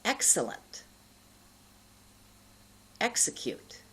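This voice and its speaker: A middle-aged woman with an American accent giving an instructional pronunciation lesson, enunciating carefully and deliberately with pauses between words. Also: pushed and bright. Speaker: pronunciation teacher. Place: indoor studio.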